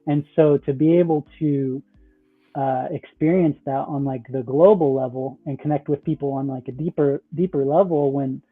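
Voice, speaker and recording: A man's voice: medium pitch, steady tone, normal speed. Man on a tinny call line, rambling. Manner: rambling, many "like", "you know" and "right?". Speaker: guest, metaverse project founder. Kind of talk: casual podcast conversation. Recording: tinny phone-like call audio.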